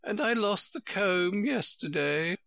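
Man putting on a flustered old queen, high fretful wail, storybook, bright dry voice. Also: expressive, slow pace, creaky, no room sound, full character voice.

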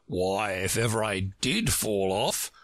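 Man putting on a pompous slow-drawling egg creature. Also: on the low side, expressive, a bit bright, no echo, studio quality. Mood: grand, dismissive. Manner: haughty, drawn-out, growling. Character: pompous, testy egg-man perched on a wall. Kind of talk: storybook character reading.

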